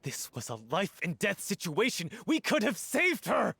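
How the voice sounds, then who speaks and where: A husky, lovestruck young actor. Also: male voice, high voice, wildly swooping pitch, unhurried, full character voice. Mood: furious. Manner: earnest, then shouting accusations. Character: lovestruck young male actor, earnest then furious. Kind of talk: game voice acting.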